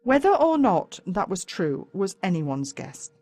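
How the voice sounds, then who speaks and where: A woman with an Australian accent narrates a children's story in the first person, in a dramatic, expressive way. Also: mid-range voice, a little slow, dry recording. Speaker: audiobook narrator.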